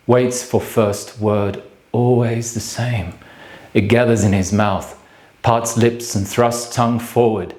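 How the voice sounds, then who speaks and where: An actor playing a bleak, solitary man brooding on death in a theatrical monologue, speaking in grave, halting fragments. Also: fairly low pitch, a little mellow. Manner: halting, clipped fragments with measured pauses. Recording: echoey room sound.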